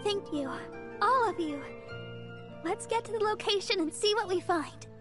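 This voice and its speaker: Sweet, very high-voiced timid girl. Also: lively intonation, normal speed, music in the background. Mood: grateful. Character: sweet, timid teenage healer girl, earnest.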